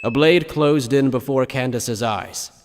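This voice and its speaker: A male narrator with a medium-pitched voice in long, hall-like reverb. He reads scripted dramatic story narration. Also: measured pace, noisy background.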